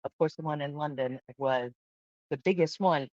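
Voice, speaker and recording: A woman's voice: low voice, moderate pace, darkish tone, no room sound, highs cut off. A woman hosting a travel vlog, talking casually and emphatically, often throwing out questions as she explains. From born in Hungary. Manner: chatty, emphatic, asks questions.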